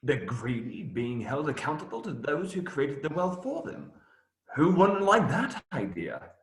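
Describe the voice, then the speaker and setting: An actor playing a merry, admiring minstrel among outlaws in a radio play. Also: male voice, on the high side, sing-song, measured pace, echoey room. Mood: earnest.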